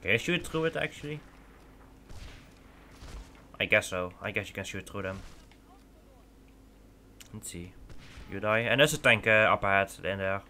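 A man's voice: on the low side, lively intonation, normal speed, long pauses, bright, forceful tone, slight background noise. A young Dutch man, speaking English as a second language, casually commenting while he plays a shooter, rambling with lots of fillers and restarts. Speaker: gaming channel host. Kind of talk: casual let's play commentary.

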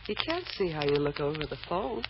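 A woman's voice: low voice, big pitch swings, brisk. An actress playing a wry, teasing, protective woman friend in an old-time American radio drama. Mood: knowing. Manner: wry, knowing, reassuring. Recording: muffled old radio recording, noisy.

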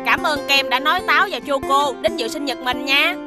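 A woman as a high-voiced feisty cartoon schoolboy. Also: brisk, natural voice. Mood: grateful, cheerful. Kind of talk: children's cartoon dubbing. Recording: music behind the voice.

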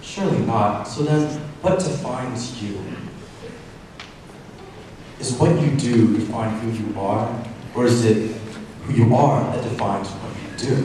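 A young man performing spoken word poetry on a hall stage, dramatic, dropping to a hush and then rising forcefully. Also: medium pitch, measured pace, pauses often. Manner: dramatic, swings from hushed to forceful. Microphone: handheld mic. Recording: echoey hall from far off, noisy.

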